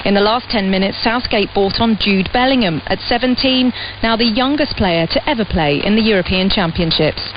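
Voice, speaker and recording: A woman's voice: medium pitch, moderate pace, few pauses. Woman giving a sports report through static. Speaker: sports correspondent. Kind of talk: scripted news report. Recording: muffled shortwave radio with static.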